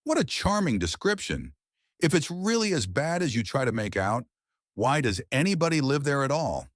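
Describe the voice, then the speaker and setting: A man's voice: medium pitch, big pitch swings, moderate pace, dry recording, clean studio sound, voice pushed up, natural voice. A man voicing a cheerful, spirited young English society woman from a pulp story, chatty and teasing in manner.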